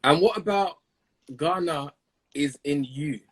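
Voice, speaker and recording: A man's voice: a little high, takes it slowly. A man hosting a live-stream interview, chatty and hyping up his audience. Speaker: interview host.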